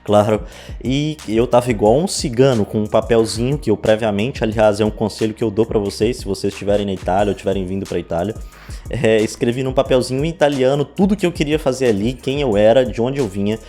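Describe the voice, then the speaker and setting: A man's voice: on the low side, fast talker, mellow. A man in his twenties with a Brazilian Portuguese accent, telling his experiences in a casual, conversational vlog style. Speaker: vlogger. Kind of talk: casual storytelling to camera. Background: background music.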